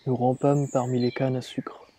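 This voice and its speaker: Man, very mellow dark tone, slow grave literary recitation, restrained intonation, rebel slave monologue. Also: medium pitch, level tone, dry recording. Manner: grave, deliberate, with short measured phrases. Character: dying rebel slave reliving his revolt, grave and defiant. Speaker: reader performing a dramatic monologue.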